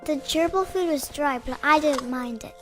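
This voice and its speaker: A woman's voice: sits high, moderate pace, over music, lightly acted. A woman playing an earnest, hungry little girl narrating her diary in a story game. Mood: earnest, matter-of-fact.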